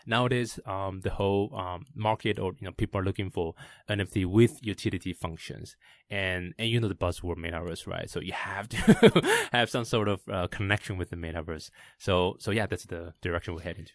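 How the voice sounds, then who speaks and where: Taiwanese man, halting speech, lots of fillers, lowish voice, dry close sound. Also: dry recording. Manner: halting, many fillers and restarts. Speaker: startup founder. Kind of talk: podcast interview.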